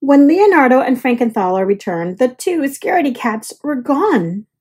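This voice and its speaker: A woman reads a children's picture book aloud expressively, with deliberate dramatic pauses. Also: sits high, sing-song, darkish tone, breathy edge.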